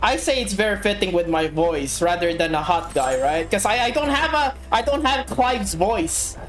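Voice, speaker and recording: A woman from the Philippines streaming a game, chatting casually and getting excitable as she plays. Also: medium pitch, moderate pace. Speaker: VTuber streamer. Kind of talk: casual gaming chat. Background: game music.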